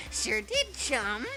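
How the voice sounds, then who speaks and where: A woman's voice: fairly high pitch, full character voice. A woman voicing a crude, mocking robot bear mascot villain in an anime game fan dub, gleefully taunting and theatrical. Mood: smug. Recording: music behind the voice.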